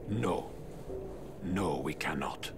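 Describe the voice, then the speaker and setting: A man's voice: a bass voice, expressive, slow pace, heavily acted. An actor playing an old mutant rat master, a stern, worried father who speaks formally and solemnly in a video game cutscene. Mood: resigned. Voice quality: gravelly. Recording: noisy, game sound behind.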